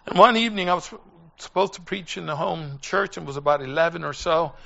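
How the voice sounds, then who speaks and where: Bright, creaky-voiced older male preacher. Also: on the high side, lo-fi sound. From Puerto Rico. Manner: earnest, halting, with pauses and repeated phrases. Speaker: preacher and former missionary. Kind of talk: personal testimony in a sermon.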